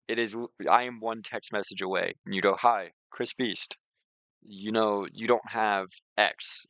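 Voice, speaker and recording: A man streaming a casual software walkthrough, explaining things in an informal, rambling way. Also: fairly low pitch, creaky, no room sound. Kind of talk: casual screen-share walkthrough and chat.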